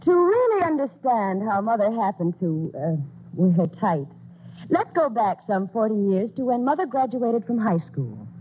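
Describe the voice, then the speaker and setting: A woman's voice: a little high, big pitch swings, a little slow. An actress narrating as a fond grown daughter recalling her parents in a 1940s radio play. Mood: wistful. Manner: fond, wistful storytelling. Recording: tinny old radio broadcast with music.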